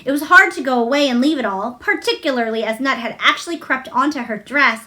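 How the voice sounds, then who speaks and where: Female storybook reader with a high voice, narrating a children's novel aloud. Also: brisk, sharp tone.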